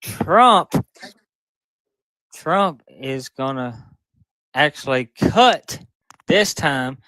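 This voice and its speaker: A man in his twenties with a Mississippi accent, a guest arguing politics in an earnest, halting way. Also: on the high side, animated, sharp tone, no echo, rough recording. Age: 20s. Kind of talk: casual debate.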